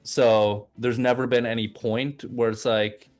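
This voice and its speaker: A man's voice: mid-range voice, little pitch movement, unhurried, faint music behind. A man from Oslo who grew up bilingual in English and Norwegian, chatting informally as an interview guest and often repeating words as he thinks. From Oslo, Norway. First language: bilingual English and Norwegian from childhood. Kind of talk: casual conversational chat.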